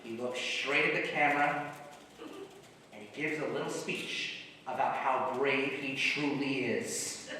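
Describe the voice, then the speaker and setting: A man acting an excitable, brash and optimistic screenwriter in a comic stage play, with an animated, emphatic delivery. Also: medium pitch, measured pace. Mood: excited storytelling. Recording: echoey hall, distant mic, faint noise.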